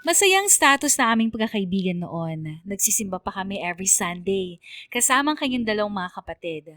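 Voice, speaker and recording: A woman's voice: medium pitch, very animated, fairly quick, fairly bright tone, dry recording, faint music behind. A woman with a Filipino accent narrating a scripted radio story in an earnest, sentimental way.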